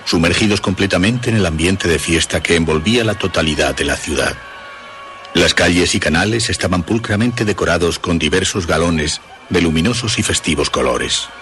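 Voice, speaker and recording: A man playing an atmospheric storyteller, narrating a dark radio tale in measured literary phrases. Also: low voice. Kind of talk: scripted radio horror narration.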